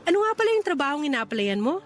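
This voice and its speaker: A woman's voice: high-pitched, very animated, very fast. Woman acting a teasing, kind boarding-house woman. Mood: teasing, curious. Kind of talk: Tagalog radio romance drama acting.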